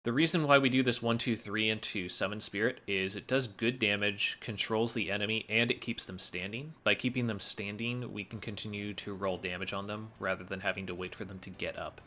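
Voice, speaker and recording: A man's voice: on the low side, fairly even intonation, fairly quick, hardly any pauses, bright, forceful tone. A man giving explanatory commentary as he plays through a video game speedrun.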